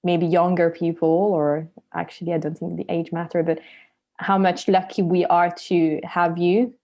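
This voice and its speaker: A woman's voice: on the low side, flat delivery, moderate pace, warm tone, muffled recording. A woman hosting a podcast interview, effusive and stumbling over repeated words. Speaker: podcast host. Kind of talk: casual interview chat.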